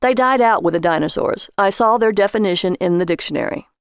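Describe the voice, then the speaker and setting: A woman's voice: medium pitch, expressive, fairly quick, clear, resonant tone, no room sound, lightly acted. A woman voicing a defensive, childish husband in a story, earnest and eager about his bargains. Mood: earnest, puzzled.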